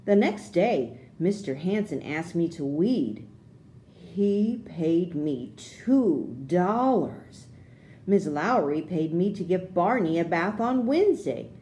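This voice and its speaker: A woman reading a children's storybook aloud, with expressive, deliberate phrasing for young listeners. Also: fairly low pitch, a little hiss or hum.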